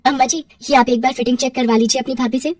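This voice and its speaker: A woman voicing a dutiful, gentle young widowed mother who speaks politely in a cartoon story. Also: high-pitched, steady tone, fast talker, lightly acted. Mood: respectful. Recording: roomy echo, distant from mic.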